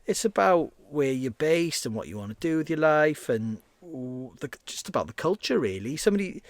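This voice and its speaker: Relaxed Welsh man, dry close sound. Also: fairly high pitch, normal speed, no echo. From Wales. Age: 40s. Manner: rambling, many fillers and restarts. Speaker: podcast guest, radio presenter. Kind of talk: casual conversation.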